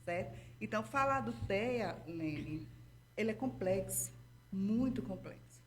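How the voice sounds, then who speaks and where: A woman's voice: medium pitch, lively intonation, unhurried. Didactic Brazilian woman explaining patiently. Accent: Brazilian Portuguese. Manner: didactic, checks in with frequent "tá?" and "entendeu?". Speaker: guest therapist. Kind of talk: explanatory interview talk.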